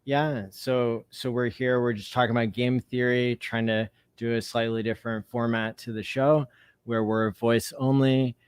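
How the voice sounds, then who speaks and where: A man hosting a podcast who talks in a measured way and prompts his guest with questions. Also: mid-range voice, little pitch movement, smooth, no room sound. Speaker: podcast host. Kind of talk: casual discussion. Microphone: studio microphone.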